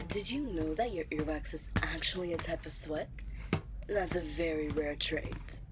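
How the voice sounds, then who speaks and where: A woman playing a chatty, curious alien scientist in a sci-fi audio drama, talking in a breathy, fascinated gush. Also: lowish voice, lightly acted, poor audio. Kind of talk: science fiction audio roleplay acting. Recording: clear background ambience noise.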